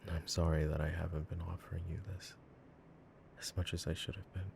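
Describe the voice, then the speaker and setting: Very deep, gravelly devoted boyfriend played by a man. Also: level tone, darkish tone, slight background noise. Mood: tender, earnest. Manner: rambling, giggly, tender, halting. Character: devoted, silly, affectionate boyfriend, earnest and reassuring. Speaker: voice actor in an audio roleplay. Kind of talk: boyfriend roleplay ASMR, intimate monologue.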